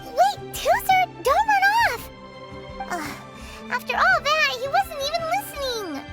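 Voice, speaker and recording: An extremely high, childlike fairy companion from a video game, chatty and excitable. The pitch swoops about freely as the little creature exclaims and explains. Prominent music plays behind the voice. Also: female voice, unhurried, heavily acted. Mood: exasperated. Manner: refers to self in third person, exclamatory.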